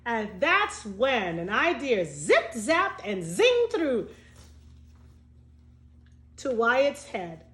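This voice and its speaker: A woman teacher reading a storybook aloud to children, expressive and speaking directly to young listeners. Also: a little high, very slow, long pauses. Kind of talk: storybook read-aloud with asides. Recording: echoey room.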